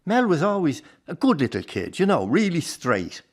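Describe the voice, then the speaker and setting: A man's voice: a little high, huge pitch swings, normal speed. An actor playing an older Irish circus grandfather in a radio drama, plain-spoken and rueful, with a salty turn and a fond heart. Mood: fond, reminiscing. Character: warm, disillusioned old Irish circus grandfather. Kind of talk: naturalistic radio drama acting.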